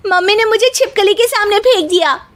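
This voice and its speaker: Woman doing young bahu in a hindi cartoon, super high squeaky voice, whiny n scared but talks back. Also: fairly quick, a bit bright. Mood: indignant whining. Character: timid, whiny, cheeky young daughter-in-law. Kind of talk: cartoon voice acting.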